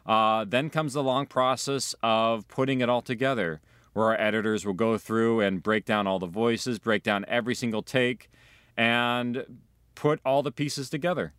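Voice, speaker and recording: A man's voice: mid-range voice, fairly even intonation, normal speed, a bit bright, clean tone, no echo, clean studio sound. A man explaining how an audio drama is produced, in a documentary interview style.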